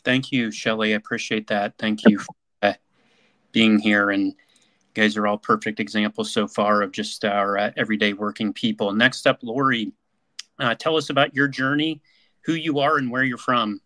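Bright upbeat male podcast host. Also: fairly low pitch, steady tone, moderate pace, no room sound. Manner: upbeat, repeats praise words. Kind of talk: hosting an online panel forum.

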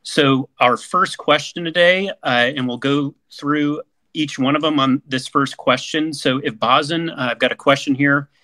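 A man's voice: a little high, unhurried, sharp tone, dry recording. A man hosting an online panel forum over a video call, upbeat and heaping on repeated praise. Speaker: podcast host.

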